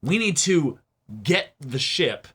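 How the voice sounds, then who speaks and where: A man with a bright, forceful voice and a very lively range of pitch. He gives casual, excitable reaction commentary as a co-host, leaning hard on his points and swearing freely. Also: slow, husky. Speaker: co-host of an anime reaction show.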